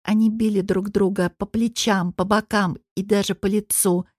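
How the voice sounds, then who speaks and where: A woman narrates a novel in the first person with expressive delivery. Her voice sits at an average pitch for a woman. The sound is dry, with hardly any room to it. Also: normal speed, breathy edge, dry recording. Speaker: audiobook narrator.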